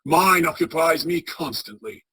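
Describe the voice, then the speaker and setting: A bitter old miser from a radio play, acted by a man, curt and full of scorn. The voice sits fairly high and cuts very sharply as it grumbles at everyone around. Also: expressive, a little slow, pushed and bright, a little hiss or hum. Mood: cold, irritable.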